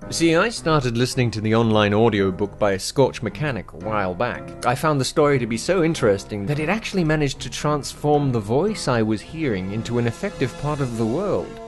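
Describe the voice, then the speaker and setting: A man's voice: medium pitch, expressive, fairly quick, few pauses, soft background music. A man giving guest commentary in a wry, wordy, theatrical manner, scripted but conversational. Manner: wry, verbose, theatrical phrasing. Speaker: guest reviewer.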